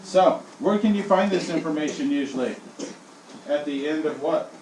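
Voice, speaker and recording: A male elementary school teacher leading his class in call-and-response, swinging his voice high and low as he drills them. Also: moderate pace. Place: classroom, indoors. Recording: echoey classroom from far off, with noise.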